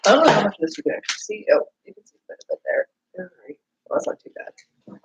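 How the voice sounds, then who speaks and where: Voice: medium pitch, wildly swooping pitch, fast talker, vocal fry. A streamer who is starting a cold and sounds sniffly, chatting casually while wrapping gifts at home and rambling apologetically to the viewers and to themself. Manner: rambling, apologetic, talks to self. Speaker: streamer and writer. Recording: noisy home recording.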